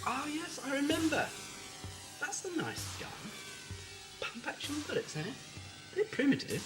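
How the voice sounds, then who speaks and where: A man's voice: very high-pitched, wildly swooping pitch, measured pace, exaggerated voice, poor audio. A man with a British accent acting an eccentric, flippant space-travelling scientist in an amateur audio drama. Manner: flippant, breezy, teasing. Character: whimsical, eccentric male scientist who travels space and time. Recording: music under dialogue, home-made cassette drama.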